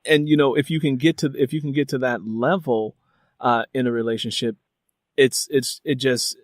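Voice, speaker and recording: Man interviewing in halting, reflective phrases. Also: medium pitch, dry recording, studio quality. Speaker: interviewer, host. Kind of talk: conversational interview, thoughtful questions.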